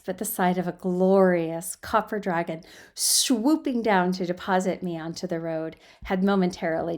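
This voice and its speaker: A woman reading and chatting as an amateur storyteller, informal and self-deprecating, recorded in a guest room on a laptop microphone. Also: on the low side. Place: quiet guest room at home. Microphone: built-in laptop microphone.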